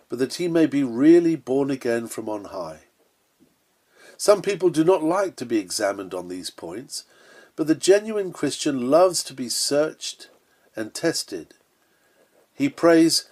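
A man's voice: mid-range voice, a little mellow. A man reading an old-fashioned sermon aloud in an earnest, solemn and emphatic preaching manner. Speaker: sermon reader.

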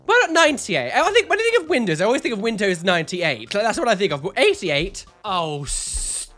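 Sassy, congested man with a lively, bright voice. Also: high voice, fairly quick, faint music behind. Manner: animated, sassy, dramatic exclamations. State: congested, nasal from a cold. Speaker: YouTuber reacting to photos. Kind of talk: camp, chatty reaction commentary. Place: home studio room with neon lights. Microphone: studio mic on a boom arm, close.

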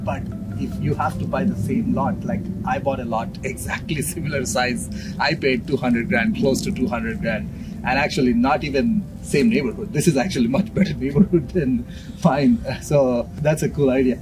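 A man's voice: medium pitch, fairly even intonation, normal speed, loud music behind the voice, rough recording. A man in his thirties hosting a casual interview, often checking his understanding with tag questions, recorded outdoors on a lapel mic. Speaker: host interviewing a builder on a house tour. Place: outdoors in a yard between houses.

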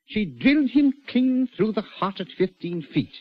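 An actor playing a brusque, nervy prison governor under strain, curt and blustering, in 1940s radio style. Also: male voice, high-pitched, big pitch swings, normal speed. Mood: irritated, scornful. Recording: muffled 1940s radio recording.

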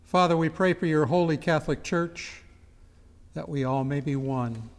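An older man priest reads liturgical prayers from a book in a church, solemn and even. His voice is a touch high. Long church reverb carries each phrase. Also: moderate pace. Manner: solemn, reading prayers aloud. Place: church chancel, indoors.

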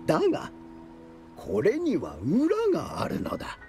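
An actor playing a theatrical storyteller of eerie legends, speaking with deliberate suspense and a gravelly creak. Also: male voice, fairly high pitch, wildly swooping pitch, heavily acted. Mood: knowing, theatrical. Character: theatrical male storyteller spinning eerie mountain legends, dramatic, knowing. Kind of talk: dramatic oral storytelling. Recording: loud music under the voice.

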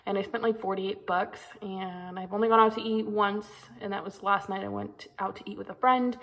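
Young woman, casual vlogger, gravelly edge, chatty filler-heavy delivery, phone mic. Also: medium pitch, a bit bright. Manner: chatty, rambling, lots of ums. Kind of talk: casual day-in-the-life vlog. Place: inside a parked car, then a home kitchen. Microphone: phone camera at arm's length.

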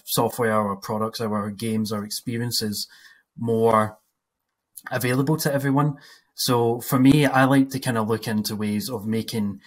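Young Scottish man, flat low voice, hesitant panel speaker on headset. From west coast of Scotland. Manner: halting, many "uh" pauses. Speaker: panelist, 3D animator. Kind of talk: panel discussion on video call. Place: home room.

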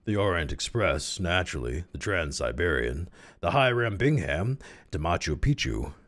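A man voicing a suave, seductive scholar and train buff, smooth and flirtatious. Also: deep voice, very animated, moderate pace, a bit bright, full character voice. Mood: smooth, scholarly.

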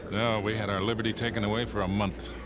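Actor as low-voiced sly old charmer. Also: male voice, flat delivery, quick pace, noisy background, natural voice. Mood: amused, guarded. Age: older. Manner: dry, droll. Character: sly, charming older male fortune hunter. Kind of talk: naturalistic video game acting. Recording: loud music behind the voice.

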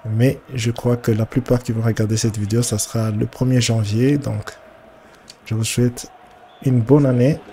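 A man's voice: medium pitch, flat delivery, dark tone, slight background noise. Congested man casually commentating a football game. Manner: halting, repeats words while thinking aloud. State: congested with a cold. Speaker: gaming streamer.

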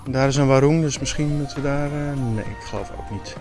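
A man's voice: medium pitch, fairly quick, a little mellow, soft background music. A man in his fifties vlogging outdoors to a handheld camera, chatty and hesitant with many fillers. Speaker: sailing vlogger. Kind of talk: casual vlog to camera. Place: outdoors, tropical village. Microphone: handheld camera at arm's length, selfie.

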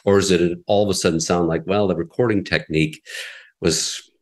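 A middle-aged man with a very low, deep voice talks easygoing and conversational. He rambles with restarts and small chuckles as he explains how radio works. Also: fairly even intonation, a little fast, darkish tone. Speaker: radio station president, guest. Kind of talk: conversational interview.

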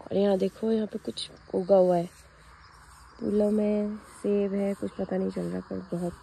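A woman's voice: mid-range voice, mellow. A woman in her twenties chatting casually and informally to viewers as a travel vlogger. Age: 20s. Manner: informal, addresses viewers directly. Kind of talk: casual chatty vlog narration. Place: in a car and outdoors at roadside. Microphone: camera at arm's length. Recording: noisy handheld vlog with traffic noise.

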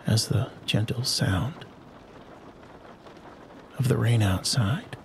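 Slow, soothing low-voiced man guiding sleep hypnosis. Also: gravelly, slight background noise. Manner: soothing, unhurried, with long pauses. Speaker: sleep hypnosis narrator and host. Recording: rain and thunderstorm sounds behind the voice. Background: rain and distant thunder ambience.

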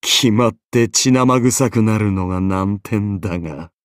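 A man's voice: fairly low pitch, a little slow, no room sound, studio quality, close to an everyday voice. An actor playing a haughty, easily bored golden-armored king in an anime game, pompous, imperious and condescending. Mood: disdainful. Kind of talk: dramatic anime game character voice acting.

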